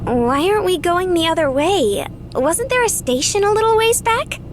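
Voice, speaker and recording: Very high-pitched, bubbly young woman. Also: lively intonation, normal speed, slight background noise. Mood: curious. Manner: bouncy, exclamatory, childlike enthusiasm. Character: bubbly, naive, eager young woman hitchhiker. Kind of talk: visual novel voice acting. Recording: game dialogue with music behind.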